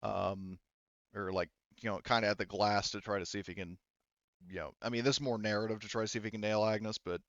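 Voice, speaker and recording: A man speaking as game master of a casual tabletop roleplaying game, in a halting, informal, sweary way. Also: fairly low pitch, fairly even intonation, fairly bright tone, no room sound, dull audio.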